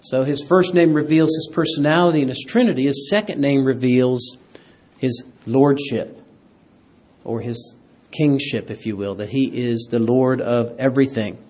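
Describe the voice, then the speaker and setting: Husky man teaching a Bible class. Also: mid-range voice, normal speed, darkish tone. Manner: quizzes the class, asks for amens. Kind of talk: interactive Bible lesson.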